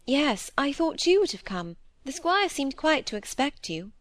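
A woman's voice: sits high, big pitch swings, unhurried, no room sound, voice pushed up. A woman voicing a sweet, earnest, loyal young daughter in an audiobook. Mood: fond, earnest. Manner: earnest, pleading.

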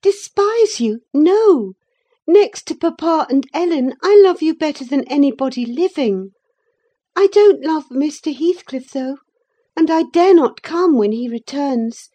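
A woman voicing a spirited, outspoken young lady in an audiobook, pert, emphatic and quick to anger. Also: sits high, lively intonation, mellow, pitched above the performer's own voice. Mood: earnest, affectionate. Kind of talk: dramatised audiobook reading of a classic novel.

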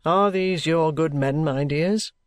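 A man reading aloud as a middle-aged police detective who asks brisk, probing questions in a firm voice. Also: a little high, no echo, clean studio sound.

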